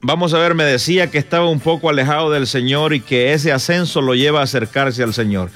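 A man's voice: a little high, a little fast, fairly bright tone, soft background music. A man hosting an interview programme, presenting and asking questions in a conversational broadcast style. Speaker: radio show host, interviewer. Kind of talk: scripted introduction and conversational interviewing.

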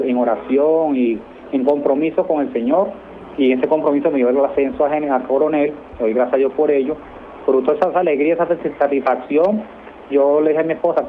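A middle-aged man with a Venezuelan accent, answering interview questions in a conversational way as a military guest. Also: mid-range voice. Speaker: interview guest, army colonel. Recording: noisy, tinny phone-like line.

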